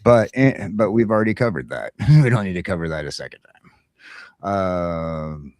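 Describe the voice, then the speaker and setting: A very low-voiced American man chats casually as a talk show co-host. His delivery is halting, opinionated and rambling. The sound is dry, with very little room in it. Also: animated, a little mellow, no echo. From United States. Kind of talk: casual morning podcast chat.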